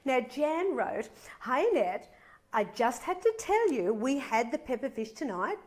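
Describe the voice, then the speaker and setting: A woman's voice: sits high, very animated, a little slow, darkish tone. Bubbly, slightly nasal woman cooking host. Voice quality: a bit nasal. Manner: bubbly and enthusiastic, reading out viewer comments. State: slight head cold. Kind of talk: live cooking demonstration, chatty. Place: home kitchen.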